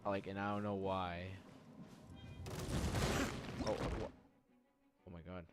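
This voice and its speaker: A man's voice: low voice, little pitch movement, slow pace. A man giving casual, rambling gameplay commentary, repeating phrases as he thinks aloud. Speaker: gameplay streamer. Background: loud game music.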